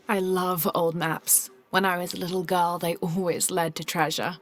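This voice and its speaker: An actress playing a poised, kind and determined young woman archaeologist in a video game, speaking calmly and politely. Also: lowish voice, a little slow. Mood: warm, friendly. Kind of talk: video game cutscene acting.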